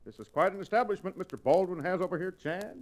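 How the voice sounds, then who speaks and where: An actor playing a florid, whiskey-loving old-time medicine-show sidekick in a radio western, pompous and theatrical. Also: male voice, high-pitched, quick pace, noisy background. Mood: jovial, wheedling. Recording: restored vintage radio drama recording.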